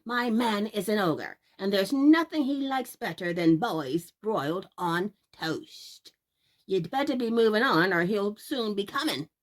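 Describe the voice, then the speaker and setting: A woman voicing an ogre's tall, bustling wife in a storybook tale, brisk, bossy and scolding. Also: mid-range voice, expressive, lightly acted. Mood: brusque warning.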